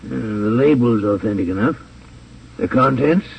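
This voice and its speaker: An actor playing a cautious, businesslike American drug wholesaler with a hesitant manner in a 1950s radio drama. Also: male voice, mid-range voice, animated, moderate pace, vocal fry. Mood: wary. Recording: distant, dull, noisy old radio transcription.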